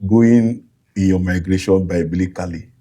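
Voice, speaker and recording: A man's voice: deep voice, a little slow. A man hosting an interview, reacting with exclamations and repeating the guest's words back. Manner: animated, exclaims and echoes the guest. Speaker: host. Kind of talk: interview conversation.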